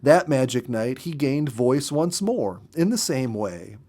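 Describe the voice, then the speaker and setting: A man narrates a story in a medium-pitched voice. His delivery is expressive and deliberate, shaped for dramatic storytelling. He reads as a practised story reader would, with careful phrasing.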